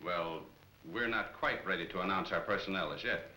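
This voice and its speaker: A man's voice: sits low, brisk, pushed and bright, slight background noise, poor audio. An actor playing a formal, businesslike but doubting racketeer front man in 1940s film serial style. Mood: polite, businesslike.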